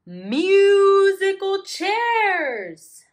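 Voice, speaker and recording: An excitable cartoonish storybook animal voiced by a woman, very high and loud. The lines are stretched out slowly in a sing-song swoop, exclaimed eagerly for young listeners. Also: exaggerated voice. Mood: drawn-out, eager. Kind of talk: slow expressive storybook read-aloud for children.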